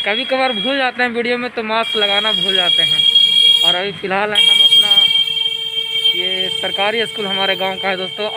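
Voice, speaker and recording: A young man vlogging on a phone held at arm's length, chatting casually to his viewers as he walks outdoors to a busy village market. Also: sits high. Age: young adult. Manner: chatty, addresses viewers as friends. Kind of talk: casual daily vlog narration. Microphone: phone camera held at arm's length. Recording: crowd and traffic noise behind. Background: market crowd and passing vehicles.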